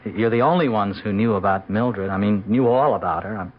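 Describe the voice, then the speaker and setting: An actor playing an anxious, hesitant forty-year-old husband who stammers, in an old-time radio drama. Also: male voice, lowish voice, a little fast, close to an everyday voice. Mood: shaken, grateful. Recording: dull, faintly noisy old radio recording.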